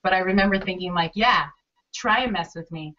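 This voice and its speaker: A woman's voice: on the low side, a little fast, sharp tone. A young woman hosting a casual interview over a video call from her bedroom, bubbly and gushing. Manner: bubbly, gushing, lots of fillers. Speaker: interviewer host. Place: small bedroom, indoors. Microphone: video call webcam. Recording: distant, dull webcam audio.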